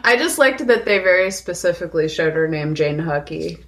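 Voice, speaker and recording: A woman's voice: fairly low pitch, animated, normal speed, a bit bright, a little hiss or hum. A woman co-hosting a film podcast in casual conversation, reflective and often searching for her words. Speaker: podcast co-host discussing films.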